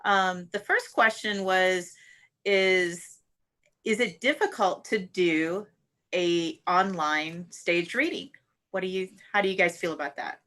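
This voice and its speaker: A woman's voice: fairly low pitch, slow, bright, forceful tone. A woman moderating a live audience Q&A in a casual hosting style.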